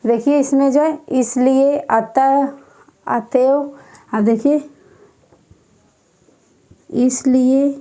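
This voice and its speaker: A woman teaching an exam-prep grammar class, repeating each word again and again as if for dictation. Her voice sits high but has a soft, mellow tone, and she takes her time over every phrase. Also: steady tone. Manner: slow, repetitive dictation, repeating each term several times.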